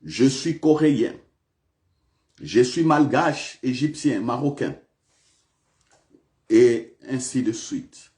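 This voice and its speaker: A man's voice: medium pitch, expressive, takes it slowly, long pauses, a little mellow. A male pastor preaching a sermon in a church, repeating questions to the congregation with big swings of emphasis.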